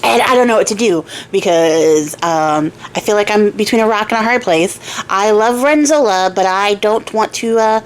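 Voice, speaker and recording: A woman's voice: medium pitch, normal speed, a little hiss or hum, natural voice, poor audio. A woman voicing a frightened, dithering peasant father in a folktale, hesitant and rambling as he pleads. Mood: flustered, torn.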